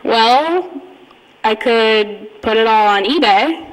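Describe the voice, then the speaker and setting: A woman giving an informal talk, telling a personal story in a halting, amused manner. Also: a little high, animated. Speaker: conference speaker, radio hacker community member. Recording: echoey hall with long reverb.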